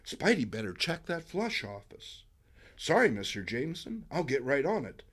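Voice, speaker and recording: Young photojournalist hero for a comic book reading, played by a man; watchful, understated, bright-voiced. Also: mid-range voice, very animated, normal speed, slightly rough. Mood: dutiful. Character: wary, deferential young male photojournalist superhero.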